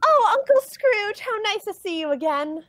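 A woman's voice: extremely high pitch, big pitch swings, moderate pace, no room sound, voice pushed up. A woman voicing a dreamy, lovestruck young female duck in a cartoon comic dub. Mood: sweet, eager.

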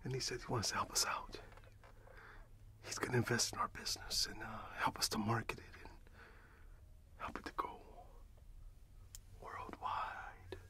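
A middle-aged man playing a friendly, grateful candy shop owner in an ASMR roleplay, speaking in a breathy whisper with a gentle, halting manner. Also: mid-range voice, level tone, natural voice. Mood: excited, affectionate.

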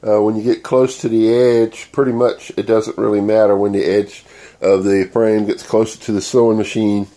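Stuffy guy w a cold, low mellow voice, rambling how-to on his phone in the sewing room. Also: little pitch movement, moderate pace. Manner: rambling, plain-spoken, many uh fillers. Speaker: DIY channel host. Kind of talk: casual how-to demonstration. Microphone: handheld phone camera.

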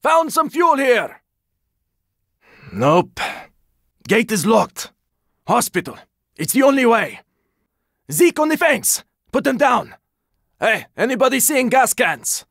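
A gruff, weary squad fighter from a zombie video game. His voice is raspy and full of gravel, with lively swings in pitch. He talks in short, urgent bursts at an unhurried pace, with a sardonic edge. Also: no echo, clean studio sound, heavily acted. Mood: urgent, shouting. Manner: terse, urgent, swearing. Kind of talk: video game character combat barks.

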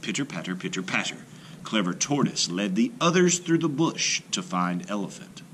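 Deep-voiced, animated man reading a folktale. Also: a little hiss or hum. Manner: theatrical, measured, lingering on phrases. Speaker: storybook reader. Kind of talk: animated read-aloud of a folktale for children.

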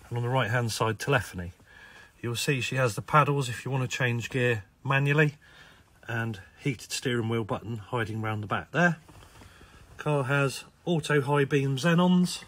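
A man with a croaky, hoarse voice and a British accent, casually talking through a car's features while filming in an indoor showroom. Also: mid-range voice. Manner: relaxed, chatty listing of features. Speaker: car salesman. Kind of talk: walkaround car sales video. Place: indoor car showroom with tiled floor.

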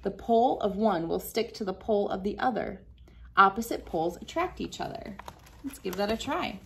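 A woman's voice: medium pitch, lively intonation, moderate pace, gravelly. A woman in her thirties reads a picture book aloud to young children, instructing them and adding casual asides as she demonstrates. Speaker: children's read-aloud host. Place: small wood-panelled room indoors.

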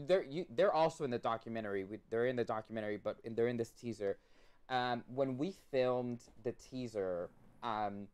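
A man's voice: medium pitch, a little mellow. A young man from Puerto Rico, a documentary filmmaker, chatting eagerly on a video call with lots of restarts and fillers. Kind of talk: casual interview chat. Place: home room full of collectibles. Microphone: video call webcam.

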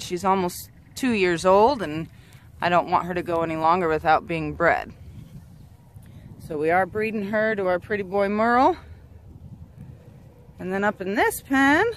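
A woman's voice: medium pitch, unhurried, long pauses. A woman in her thirties giving a casual outdoor tour of her goat homestead, chatty with frequent ums and restarts, filmed on a handheld camera. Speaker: goat farmer and channel host. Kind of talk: casual farm tour vlog. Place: outdoors on a dry rural homestead. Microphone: camera held at arm's length, then walking behind it.

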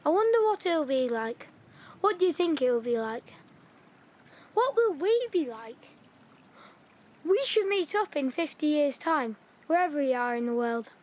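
A dreamy young boy wondering about the future, high voice, audio drama. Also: sing-song, unhurried, pauses often, darkish tone. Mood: wistful. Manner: wondering, eager questions. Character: young boy in wartime Yorkshire, eager, dreamy. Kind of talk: radio play acting.

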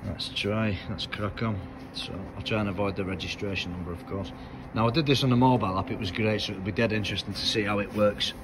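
Blunt, rambling British mechanic, forties, filming himself on a phone, noisy workshop behind. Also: male voice, on the low side, steady tone, fast. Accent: British English. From United Kingdom. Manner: blunt, chatty, rambling with fillers. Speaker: car mechanic vlogger. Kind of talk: casual vlog chat. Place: indoor car workshop. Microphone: phone held at arm's length.

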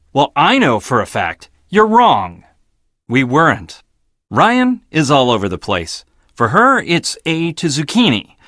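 An actor playing an arrogant, blunt, logical research scientist in a video game, with a clipped, dismissive, pedantic delivery. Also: male voice, medium pitch, fairly bright tone, no room sound, clean studio sound. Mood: curt, defensive.

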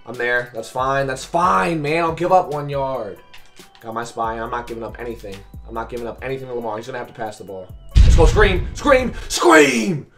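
A man in his twenties with a raspy, hoarse voice, giving live gameplay commentary as a coach in short, repeated, slangy phrases. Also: medium pitch, lively intonation, normal speed, faint music behind. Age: 20s. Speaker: gaming video host and coach. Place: small bedroom, indoors.

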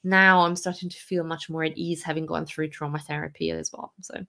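A woman in her forties from Austria, a podcast guest telling her story candidly, reflective and laughing softly between hard memories. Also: sits low, level tone, fairly quick, vocal fry.

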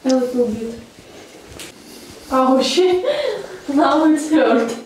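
A woman's voice: high voice, sing-song, drawn-out words, a little mellow. A playful, giggly young woman talking as a massage patient in a small room. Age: young adult. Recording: roomy sound with faint noise.

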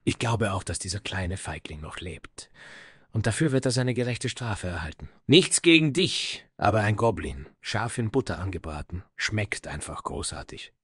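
A man's voice: fairly low pitch, very animated, moderate pace, breathy edge, no echo, clean studio sound. A man playing a proud, vengeful dwarf smith from the icy north, speaking in solemn, grandiloquent phrases in a fantasy audio story. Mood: grimly scornful.

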